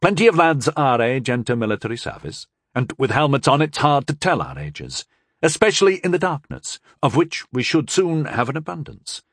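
A man's voice: mid-range voice, sing-song, moderate pace, no room sound, clean studio sound, voice pushed up. A man voicing a bold young squad leader who gives orders with authority. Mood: calm scheming.

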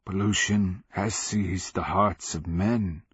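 A man voicing a fervent old slave in a fantasy audiobook, with a thick rasp and barked, doom-laden pronouncements. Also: deep voice, fairly even intonation, a bit bright, no echo, dull audio, full character voice. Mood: ominous, grave. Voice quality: rasping, thick voice. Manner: barking, drawn-out pronouncements. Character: fervent old male slave, zealous doomsaying fanatic. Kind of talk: fantasy audiobook character acting.

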